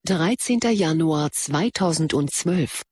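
A man's voice: fairly high pitch, expressive, takes it slowly, a little mellow, husky, dry recording, heavily acted. A man playing an impassive announcer in an audio drama, reading in matter-of-fact, clipped phrases. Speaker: announcer of dates and like counts.

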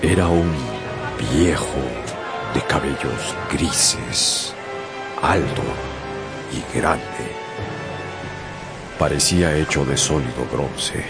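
A man's voice: a bass voice, drawn-out words, gravelly, long pauses, full character voice. An actor playing a young sailor who narrates his own sea adventure in a dramatized radio story. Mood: intrigued, suspenseful. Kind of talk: dramatized first-person storytelling. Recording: music bed behind narration.

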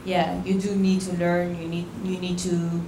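A woman with a lowish voice speaks earnestly on a panel, pausing to think as she talks. Her delivery stays flat. Background noise and strong hall echo surround her. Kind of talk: panel discussion. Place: event venue.

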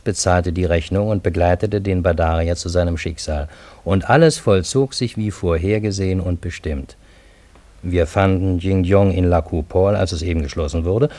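A low male voice in a very dry studio carries this radio play as narrator. An actor plays an obedient Parisian man recounting his strange story with measured, literary phrasing. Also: dark tone, no room sound, close to an everyday voice.

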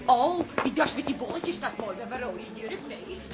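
An actress playing a bossy, teasing, strong-willed wife in a radio comedy, brisk and taunting. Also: female voice, fairly high pitch, big pitch swings.

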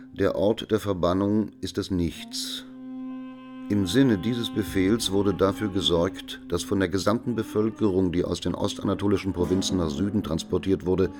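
A man playing a sober witness who reports atrocities in measured, formal, factual detail in a documentary radio drama. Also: sits low, close to an everyday voice. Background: music.

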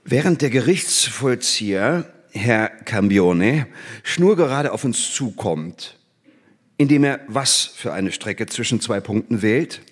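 An actor playing an enthusiastic mathematics teacher, declamatory and didactic, in a theatrical drama. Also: male voice, mid-range voice, animated, slow, slightly rough. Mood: instructive. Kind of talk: theatrical scripted performance.

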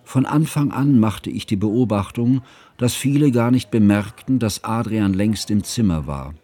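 A man playing an earnest, fussy scholarly friend, narrating a literary radio drama in a measured, formal way. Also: fairly low pitch, dark tone.

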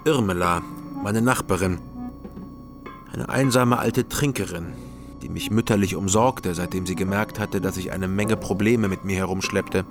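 An actor playing a troubled, gentle loner in a radio crime drama, speaking in an understated, slightly hesitant way. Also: male voice, sits low, normal speed, over music. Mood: reflective. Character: awkward, troubled loner man, gentle with a child. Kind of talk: German radio crime drama acting and narration.

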